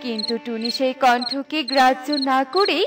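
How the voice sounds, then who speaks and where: A woman's voice: high-pitched, normal speed, over loud music. A woman reading scripted fairy-tale narration for a children's cartoon.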